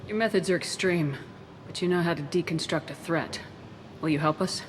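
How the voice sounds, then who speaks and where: A woman's voice: on the low side, normal speed, long reverb tail, a little hiss or hum, lightly acted. A woman playing a tough, decisive military space commander in a video game, direct and businesslike. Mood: probing. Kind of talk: video game dramatic acting.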